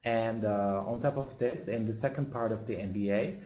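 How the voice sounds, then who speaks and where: A man's voice: lowish voice, moderate pace. A man from Turkey, an MBA student, introducing himself on a webinar and hesitating often on fillers. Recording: echoey, dull lo-fi webinar audio.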